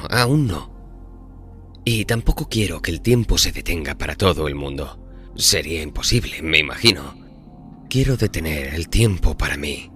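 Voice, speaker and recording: Man playing deep-voiced, wary, earnest bargainer. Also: bright, forceful tone, full character voice. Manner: hesitant, earnest, politely questioning. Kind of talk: audio horror drama acting. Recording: music behind the voice.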